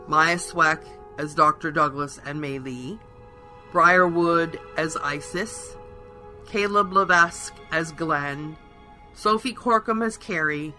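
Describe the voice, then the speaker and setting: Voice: mid-range voice, bright, forceful tone. An announcer reading scripted closing credits for a radio play in a formal manner. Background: faint music.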